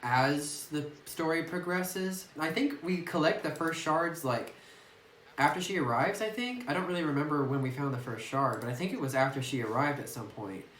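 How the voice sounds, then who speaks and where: A let's-play commentator talking casually and thinking aloud about a visual novel, filling every pause with "like", recorded on a phone. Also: medium pitch, fairly bright tone, a little hiss or hum. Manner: rambling, thinking aloud, heavy use of "like". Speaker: gameplay commentator. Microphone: phone microphone. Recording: roomy echoey phone recording.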